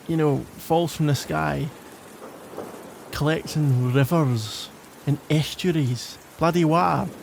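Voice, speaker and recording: A man's voice: fairly high pitch, gravelly, a little mellow, a little hiss or hum. A man playing a grudgingly caring, sarcastic young Glaswegian in an exasperated, teasing ASMR roleplay monologue. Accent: Glaswegian Scottish.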